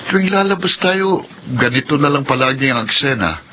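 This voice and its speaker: A man's voice: mid-range voice, lively intonation, moderate pace, bright, forceful tone, a little hiss or hum. A man playing a young husband and father in a radio drama, teasing and patient. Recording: dull lo-fi radio recording.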